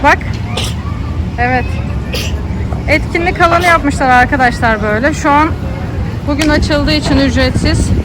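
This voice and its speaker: A woman's voice: high voice, moderate pace, pauses often. A woman giving casual, chatty vlog commentary and encouraging children along. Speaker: family vlogger and mother narrating an outing. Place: children's festival at an event venue. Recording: loud music and crowd noise behind. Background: music, busy festival crowd.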